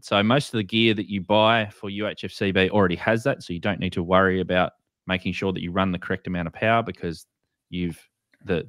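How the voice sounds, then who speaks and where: A low-pitched Australian man hosts an informal explainer. His delivery is halting, with words repeated as he goes. The sound is close and dry, with very little room in it. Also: a bit bright, no echo. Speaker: host. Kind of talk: casual explainer with screen share.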